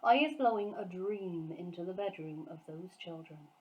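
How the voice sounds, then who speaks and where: A woman voicing a huge, gentle, emotional male giant from a storybook, who talks in muddled grammar and made-up words. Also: lowish voice, normal speed, highs cut off, natural voice. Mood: earnest, proud.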